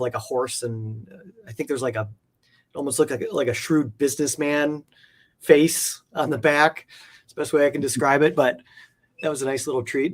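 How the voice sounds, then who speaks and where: A man with a medium-pitched voice talks as a guest in a casual interview. He sounds like a keen collector, conversational and enthusiastic, and he rambles a little as he goes. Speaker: guest, comic art collector.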